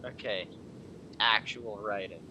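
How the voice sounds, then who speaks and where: A man's voice: fairly low pitch, takes it slowly, very noisy. A man giving casual, sardonic commentary as they play a game, with muttered asides. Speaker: game streamer.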